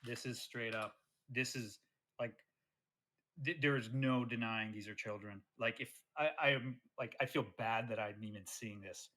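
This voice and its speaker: A man's voice: medium pitch, steady tone, measured pace, bright, forceful tone. A man in an online voice chat argument, talking bluntly and emphatically about screenshots. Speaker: debate participant presenting screenshots.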